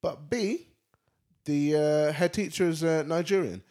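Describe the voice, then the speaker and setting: A man co-hosting a podcast, talking in an animated, slangy way with frequent restarts. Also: on the high side, unhurried. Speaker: podcast co-host. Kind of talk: casual podcast chat.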